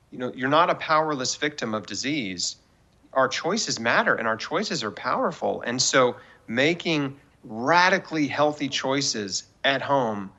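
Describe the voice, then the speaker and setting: A man's voice: mid-range voice, pushed and bright, muffled recording. A man in his forties speaking as an interview guest in an emphatic, persuasive way, giving earnest faith-based encouragement. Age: 40s. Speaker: guest author and cancer survivor.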